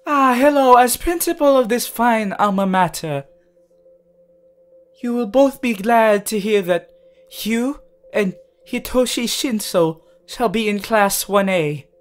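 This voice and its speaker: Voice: medium pitch, lively intonation, slow pace, faint music behind. A performer voicing a small, cheerful male animal school principal, politely and formally making an announcement in an anime fan roleplay.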